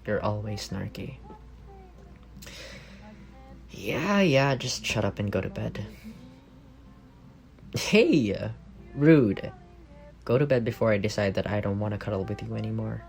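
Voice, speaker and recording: Guy acting as affectionate teasing roommate, soft creaky male voice, asmr roleplay, bit of music behind. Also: lowish voice, lively intonation, measured pace, long pauses. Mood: playful, teasing. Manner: playful teasing murmur with soft chuckles. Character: affectionate, teasing young roommate secretly in love.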